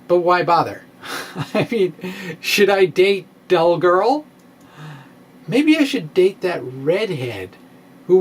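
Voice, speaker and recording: A middle-aged man giving a dramatic reading of a science blog in a wry, theatrical manner with pointed pauses, close to a microphone in a small room. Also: sits high, slightly rough. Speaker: science blogger and presenter. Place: small indoor room with bookshelves. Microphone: microphone on a stand, close in front.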